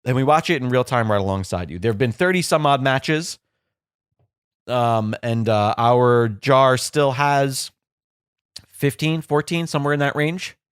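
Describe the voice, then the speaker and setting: A man's voice: mid-range voice, measured pace, smooth, dry recording, clean studio sound. A young adult man hosting a casual podcast, joking and playful, sounding a little congested and nasal. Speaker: podcast host. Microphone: studio microphone.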